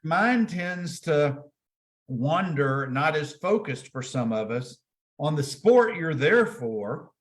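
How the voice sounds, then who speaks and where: A man's voice: a little high, lively intonation, slow. A man hosting a sports interview podcast, himself a former college player, asking long conversational questions.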